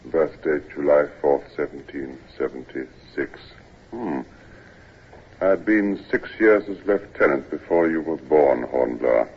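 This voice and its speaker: Sickly old British captain, rumbling bass, very raspy, gloomy, halting, old radio drama. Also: male voice, lively intonation, heavily acted. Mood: weary, formal. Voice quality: very deep, husky. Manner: halting, ponderous. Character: old, sick, morose male ship's captain, gruff yet kindly. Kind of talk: 1950s radio drama acting. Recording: muffled vintage 1950s radio recording.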